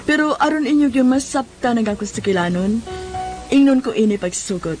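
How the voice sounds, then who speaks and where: A woman's voice: fairly high pitch, a little fast, over loud music, natural voice. An actress playing an exasperated wife who tells her own story in a radio drama. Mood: confiding. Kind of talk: Cebuano radio drama narration and dialogue.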